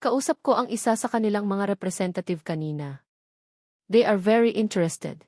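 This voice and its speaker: A woman voicing an elderly male lawyer, gentle, measured and formal, in an audio drama. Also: mid-range voice, animated, dry recording, clean studio sound, natural voice. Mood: earnest, hopeful. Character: gentle, sorrowful old male lawyer on the phone.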